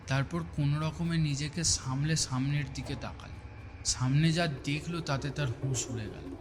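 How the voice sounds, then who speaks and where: A man's voice: on the high side, moderate pace. A man giving a scripted narration of a horror story. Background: music.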